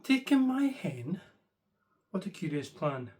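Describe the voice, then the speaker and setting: A man's voice: high voice, sing-song, slow, darkish tone, exaggerated voice. A man voicing a fretful, grumbling little old lady in a bedtime story, pleading and exclaiming plaintively. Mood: puzzled.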